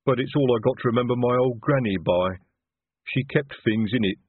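A man voicing a large, calm troll with slow, plain working-class speech. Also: medium pitch, slightly rough, no echo, voice pushed up, close to an everyday voice. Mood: wistful. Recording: tinny, phone-like, dry.

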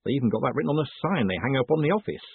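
A small, bird-like town mayor from a storybook, played by a male narrator, sounds eager and nervous, with folksy, repetitive turns of phrase. His pitch jumps about and the voice is husky. The sound is narrow, like a phone line. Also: expressive, rapid-fire, no echo. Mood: indignant, conspiratorial. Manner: chatty, repetitive folksy phrasing with tag endings. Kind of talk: audiobook character dialogue. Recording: muffled, thin phone-like sound.

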